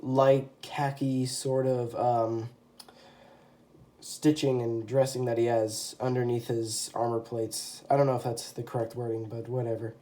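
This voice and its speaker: A man's voice: mid-range voice, level tone, unhurried, mellow. Young man with a congested cold voice. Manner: rambling, many ums and self-corrections. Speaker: toy customizer. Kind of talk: casual show-and-tell. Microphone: phone camera.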